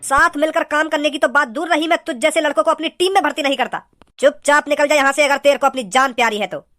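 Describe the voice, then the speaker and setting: A performer voicing a menacing, scheming male cartoon gangster, speaking in deliberate, boastful threats. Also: sits high, bright, forceful tone. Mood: contemptuous. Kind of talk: cartoon villain dialogue. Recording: music behind the dialogue.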